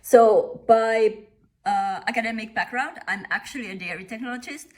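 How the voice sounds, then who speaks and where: A middle-aged woman from Finland with a Finnish accent, speaking as a company founder in an interview, measured and thoughtful with frequent pauses. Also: on the high side, a little mellow. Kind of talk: interview monologue.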